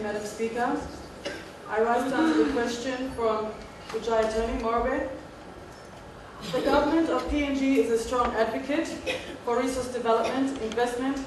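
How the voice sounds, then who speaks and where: A woman with a Papua New Guinean accent giving a partly improvised formal answer as a government speaker, heard from afar in an echoey hall. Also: a little high. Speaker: government respondent in a practice parliament. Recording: very echoey hall, distant mic, faint noise.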